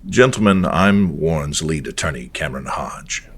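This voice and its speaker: Very deep-voiced formal lawyer. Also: male voice, expressive, slight background noise. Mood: businesslike. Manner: formal, brisk, businesslike. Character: formal businesslike lawyer in a suit. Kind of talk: audio drama acting.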